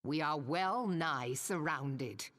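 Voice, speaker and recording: An actress playing a stern, commanding pirate admiral, delivering a solemn rallying speech in archaic nautical language for a video game. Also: female voice, deep voice, a bit bright. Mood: grave, resolute. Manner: solemn, oratorical, measured. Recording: long echoing hall reverb.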